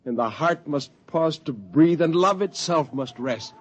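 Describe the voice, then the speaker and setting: An actor playing a brooding, literate spaceman turned zealot, intense and deliberate, in a 1950s radio drama. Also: fairly high pitch, animated, breathy edge. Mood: elegiac recital. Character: brooding, poetic male spaceman turned cold-blooded zealot. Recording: dull vintage 1950s radio recording.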